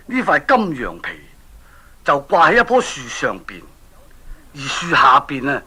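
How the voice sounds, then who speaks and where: A grave king from a children's radio play, with a Hong Kong Cantonese accent. His pitch swings dramatically and his delivery is sharp and forceful as he issues his challenge. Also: husky, full character voice. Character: grave king setting a young man a perilous quest.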